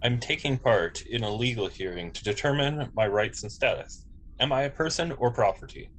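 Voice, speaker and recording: A man's voice: mid-range voice, normal speed, natural voice, rough recording. A man reading the part of a polite, innocent android officer in a table read, formal and earnest. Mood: matter-of-fact. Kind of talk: amateur script table read.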